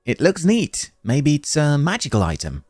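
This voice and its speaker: Curious storybook girl, voiced by a man: lifted pitch, eager, loud, excitable. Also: moderate pace, no room sound, clean studio sound, soft background music. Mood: excited.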